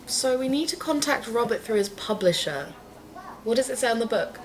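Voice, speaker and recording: An actress playing an earnest, excitable woman who co-hosts an investigative podcast in an audio drama. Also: a little high, moderate pace, slight background noise, lightly acted. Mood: businesslike. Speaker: podcast co-host.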